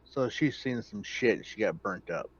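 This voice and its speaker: A man's voice: lowish voice, lively intonation, moderate pace, breathy edge, highs cut off. A man chatting casually as a player in a tabletop roleplaying game.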